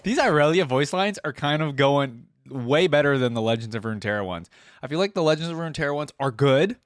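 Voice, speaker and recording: A man's voice: on the high side, lively intonation, a little fast, dry recording. A man giving casual, slangy reaction commentary as a video host, with appreciative interjections.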